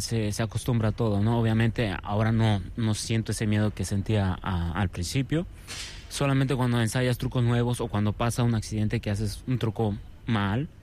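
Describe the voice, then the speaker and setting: Casting: man, Venezuelan accent, lowish voice, relaxed interview guest who repeats himself, faint noise behind. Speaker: circus artist, interview guest. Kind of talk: casual conversational interview.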